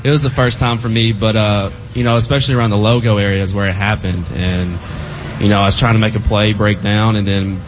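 Young man, athlete guest, low voice, halting answers, lo-fi noisy sound. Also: steady tone. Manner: halting, full of fillers. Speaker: guest football player. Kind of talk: casual interview answers. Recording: dull lo-fi with clear background noise.

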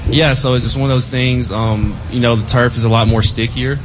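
A young man, a football player guest, giving halting answers full of fillers in a casual interview. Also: medium pitch, steady tone. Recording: dull lo-fi with clear background noise.

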